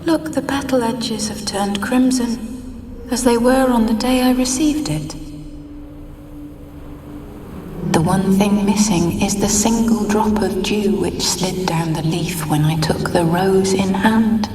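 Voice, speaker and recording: An actress voicing the mournful ghost of a young noblewoman, speaking in a slow, hushed, wistful way. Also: mid-range voice, close to an everyday voice. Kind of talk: video game cutscene voice acting. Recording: echoey, distant, with music.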